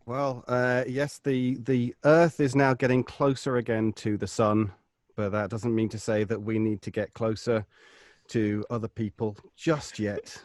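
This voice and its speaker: Man, medium pitch, gently pedantic. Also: little pitch movement, measured pace, no room sound, studio quality. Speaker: voice actor guest on a live podcast. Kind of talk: casual group chat.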